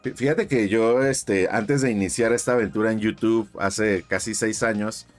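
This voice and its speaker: A man's voice: lowish voice, fairly even intonation, normal speed, faint music behind. A man from Mexico, hosting his channel's interview in a chatty, effusive way with lots of fillers. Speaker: YouTube channel host.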